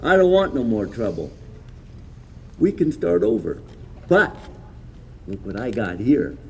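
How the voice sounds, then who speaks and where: Male reenactor as a gravelly, folksy rancher husband. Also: mid-range voice, sing-song, a little slow, darkish tone, slight background noise. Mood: resolute. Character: worried rancher husband selling out. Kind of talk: outdoor Wild West reenactment.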